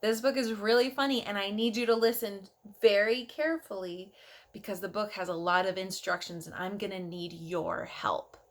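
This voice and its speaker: A woman hosting a story time for young children, speaking in an expressive, sing-song and encouraging way. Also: medium pitch, normal speed. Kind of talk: read-aloud addressed to young children.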